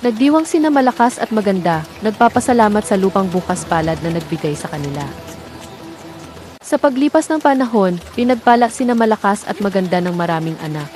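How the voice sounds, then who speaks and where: A woman's voice: medium pitch, expressive, brisk, darkish tone, faint music behind. A woman storyteller reading a scripted folktale narration.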